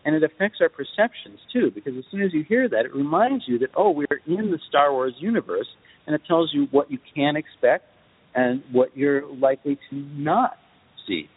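A man's voice: fairly high pitch, expressive, moderate pace, slightly rough. Man explaining in a dull, reverberant recording. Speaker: guest film composer. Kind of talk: explanatory interview talk. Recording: dull lo-fi audio with long reverb.

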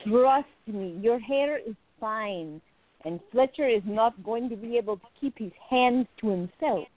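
An actress playing a playful, adoring, flirtatious woman in love in a radio play, with a teasing, excitable manner. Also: on the high side, unhurried. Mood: tender, adoring. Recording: narrow phone-like, dry sound.

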